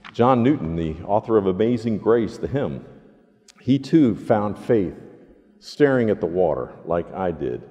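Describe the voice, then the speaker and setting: An older man preaching a sermon as a pastor, earnest and deliberate, leaning on emphatic repetition in a church sanctuary. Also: lowish voice, mellow. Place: large church sanctuary, indoors. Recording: long hall reverb.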